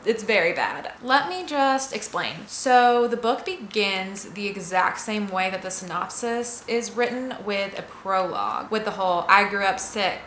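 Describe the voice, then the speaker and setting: Casting: female book vlogger, bright forceful voice, candid and chatty, given to exaggeration, recorded in a roomy space. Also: medium pitch, lively intonation, unhurried, few pauses, a little hiss or hum. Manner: candid, exaggerating, self-interrupting. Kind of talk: casual chatty vlog review.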